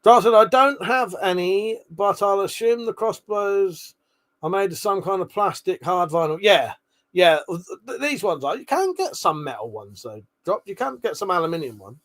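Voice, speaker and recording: A man with a British accent and a voice a little on the high side hosts a casual livestream. He rambles informally, swears freely, and moves between reading comments aloud and replying to them. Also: lively intonation, normal speed, a bit bright. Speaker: livestream host.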